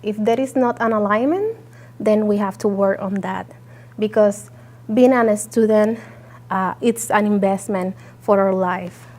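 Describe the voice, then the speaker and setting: A woman's voice: medium pitch, measured pace, a little mellow. Earnest Puerto Rican woman speaker. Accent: Puerto Rican Spanish. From Puerto Rico. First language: Spanish. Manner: earnest, with frequent "uh" fillers. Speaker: guest speaker. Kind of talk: presentation talk.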